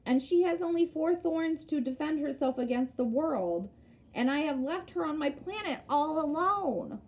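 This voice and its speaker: Woman voicing a high, curious little prince. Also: animated, normal speed, darkish tone. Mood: wistful. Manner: wondering, insistent questioning. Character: curious, persistent little prince, a gentle young boy.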